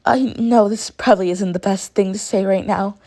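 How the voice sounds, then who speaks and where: A woman's voice: medium pitch, steady tone, moderate pace, warm tone, natural voice. Woman as a polite, reserved rich schoolgirl. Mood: apologetic, wistful.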